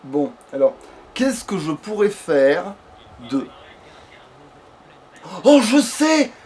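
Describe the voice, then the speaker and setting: A man's voice: high voice, sing-song, very slow, darkish tone. A man doing casual, rambling let's play commentary with a friend, swearing crudely as he goes. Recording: background noise.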